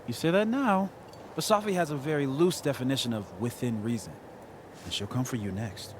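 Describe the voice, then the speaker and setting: A man playing an earnest, cautious young friend in a video game, hesitant and gently wry. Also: mid-range voice, moderate pace, noisy background, close to an everyday voice. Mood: wry warning. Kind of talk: naturalistic video game acting.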